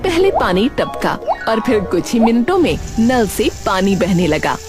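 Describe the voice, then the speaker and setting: A woman narrating a scripted cartoon story in an expressive storytelling style. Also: medium pitch, a little slow, over music.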